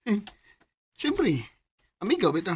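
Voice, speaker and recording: A man's voice: sits high, big pitch swings, measured pace, slightly rough, full character voice. An actor playing an easygoing, affectionate and oblivious young man in a radio drama. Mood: breezy, affectionate.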